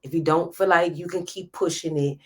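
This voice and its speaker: A woman's voice: lowish voice, fairly even intonation, a little fast. A woman in her thirties hosting a live stream, giving a passionate motivational talk straight to her viewers. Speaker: host.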